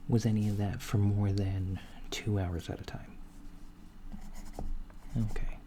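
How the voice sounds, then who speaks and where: A man voicing a calm alien doctor in a softspoken ASMR roleplay, talking in a hushed, partly whispered way with an unhurried, hesitant manner. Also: lowish voice, background noise, close to an everyday voice. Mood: calm clinical. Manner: unhurried, hesitant, with many fillers and murmured acknowledgments. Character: calm alien doctor examining a human patient.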